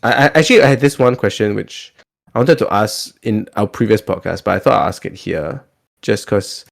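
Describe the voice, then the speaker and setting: A man's voice: fairly low pitch, a little fast, vocal fry. A Singaporean man chatting casually as a podcast host, talkative and often repeating his words as he goes.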